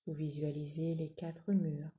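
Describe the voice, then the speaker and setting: A woman guiding a yoga nidra relaxation in a calm, soothing, measured manner, giving gentle spoken instructions with long pauses. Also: low voice.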